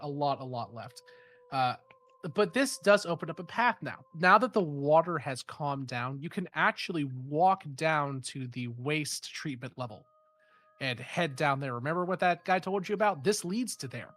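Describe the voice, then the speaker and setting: A man with a slightly high, congested, nasal voice, nursing a head cold. He runs a tabletop game in a relaxed, rambling way, full of fillers and casual swearing. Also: measured pace. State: stuffy nose from a head cold. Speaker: game master. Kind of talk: running a tabletop game over voice chat.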